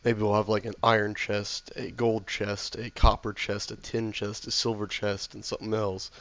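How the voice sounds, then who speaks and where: A man with a sore throat and a slightly hoarse voice, casually commentating his game while he builds, mumbling and thinking aloud to himself. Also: lowish voice, flat delivery, normal speed, a bit bright. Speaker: let's play gamer.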